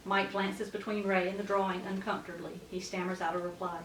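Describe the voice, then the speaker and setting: A woman's voice: mid-range voice, level tone, fairly quick, fairly bright tone. A woman reading out stage directions as the narrator of a scripted table read. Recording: echoey room, distant mic, faint noise.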